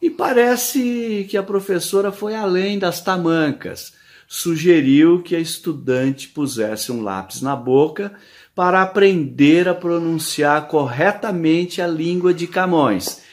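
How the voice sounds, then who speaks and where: A man's voice: fairly high pitch, takes it slowly. Wry Brazilian man in his sixties. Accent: Brazilian Portuguese. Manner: wry, ironic, with rhetorical questions. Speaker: commentator. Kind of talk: scripted opinion commentary.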